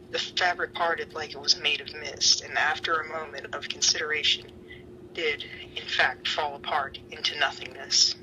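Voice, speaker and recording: A man giving a dramatic, breathy reading of fan fiction, with occasional stumbles. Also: fairly high pitch, background noise.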